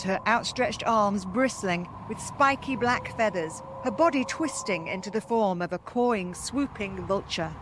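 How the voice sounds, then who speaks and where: Expressive woman narrator with background noise. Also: medium pitch. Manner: expressive, theatrical. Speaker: host reading a story aloud. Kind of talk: dramatic scripted story narration.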